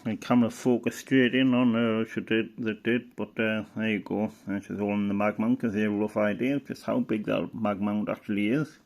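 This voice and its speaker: Low, creaky-voiced man, casual commentary. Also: level tone, brisk, few pauses, darkish tone. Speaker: hobbyist presenter. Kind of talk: casual unscripted commentary while browsing a catalogue.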